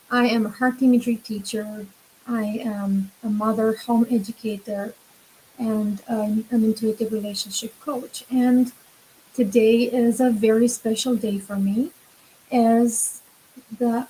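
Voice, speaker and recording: A woman around forty with a Romanian accent talks directly to the viewer as a coach sharing something personal. Her voice sits fairly high and has a very soft, mellow tone. She speaks very slowly and reflectively, leaving pauses between short phrases. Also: fairly even intonation, poor audio. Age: about 40. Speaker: relationship coach and teacher. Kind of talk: personal monologue to camera.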